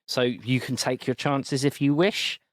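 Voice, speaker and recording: A man's voice: mid-range voice, fairly even intonation, unhurried, no echo, close to an everyday voice. A stern middle-aged father laying down the rules in a tabletop game, voiced by a man. He speaks in a firm, lecturing way. The recording is dry and close.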